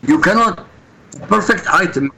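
A man's voice: high-pitched, animated, moderate pace, creaky, pushed and bright, a little hiss or hum, low-quality recording. A man from Iraq, a guest answering interview questions in an emphatic, insistent way. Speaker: guest commentator.

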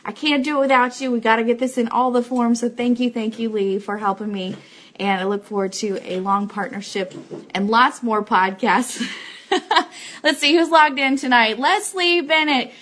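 A woman's voice: a little high, normal speed, fairly bright tone, a little hiss or hum. A woman co-hosting a casual live online show, chatty and effusive as she speaks to her viewers. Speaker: co-host and founder of a radio show.

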